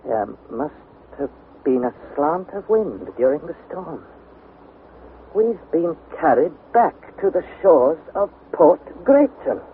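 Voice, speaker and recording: An actor playing an earnest, anxious young nephew who narrates and acts in a 1960s radio drama. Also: male voice, fairly high pitch, big pitch swings, slow. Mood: sober, resigned. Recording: tinny, noisy vintage radio recording.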